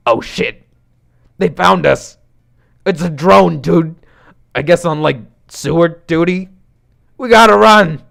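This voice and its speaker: An insecure, emotional slacker dude from a comic audio story, acted out by a male narrator. He talks in halting, self-deprecating slang, like a needy buddy. His voice sits at a middling male pitch. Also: gravelly. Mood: panicked. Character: slacker hot-dog-obsessed male would-be friend, insecure, emotional, dude-ish.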